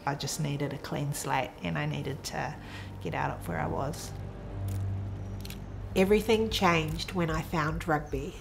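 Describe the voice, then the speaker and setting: A woman in her twenties with a New Zealand accent, reflecting quietly in a documentary interview. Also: deep voice, fairly even intonation, a little slow, vocal fry, noisy background. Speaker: athlete. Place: indoor room with framed photos. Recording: music underneath.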